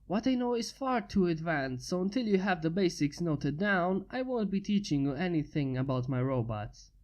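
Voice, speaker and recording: A fanfiction reader voicing a blunt, gruff inventor who has become a father. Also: medium pitch, animated, normal speed, a little mellow, natural voice.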